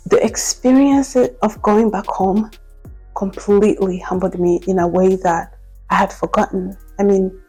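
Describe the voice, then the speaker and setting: A young woman from Congo speaks in a personal vlog. Her voice is warm and mellow at a middle pitch. She talks in a reflective, candid way, often pausing on "like" and restarting her sentences. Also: low-quality recording. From Democratic Republic of Congo. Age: 20s. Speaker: vlogger.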